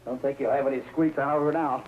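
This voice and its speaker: An actor playing a chatty, easygoing garage mechanic in a 1950s crime drama, with a folksy, casual manner. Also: male voice, mid-range voice, fairly even intonation, fast, over music, lightly acted. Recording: muffled, noisy old soundtrack.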